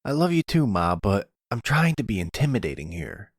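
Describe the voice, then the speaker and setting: A man playing a protective, bitter adult son who is gruff but loving, in a roleplay audio drama. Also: lowish voice, very animated, normal speed, dry recording, clean studio sound. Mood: protective, firm.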